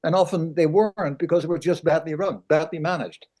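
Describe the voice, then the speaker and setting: Older guy, kinda high voice, lots of uh and um, rambles, video call. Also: steady tone, a little fast, mellow. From Netherlands. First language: Dutch. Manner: rambling, many fillers. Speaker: audience member asking a question.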